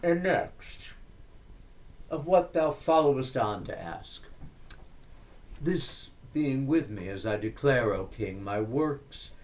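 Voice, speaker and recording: A man reciting poetry in a deliberate, declamatory way, breaking the verse into short phrases with frequent pauses. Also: lowish voice, darkish tone, low-quality recording. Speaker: poetry reader.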